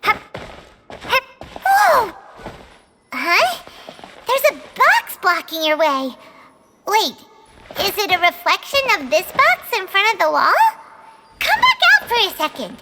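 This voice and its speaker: A woman voicing a tiny floating female companion in a game dub, talking in high, squeaky, excitable chatter. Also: takes it slowly, long pauses, noisy background, exaggerated voice. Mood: chirpy. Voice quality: high squeaky chatter, calls herself by name. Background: game music.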